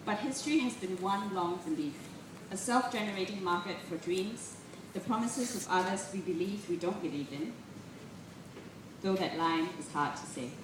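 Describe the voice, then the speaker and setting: A woman reads literary work aloud to a live room in an expressive, deliberate storytelling way. Her voice sits at a medium pitch. The recording is heavily echoey and distant from the mic, with clear noise behind her. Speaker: reader at a literary festival. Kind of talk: live literary reading of prose and poetry. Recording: roomy echo, distant mic, clearly noisy.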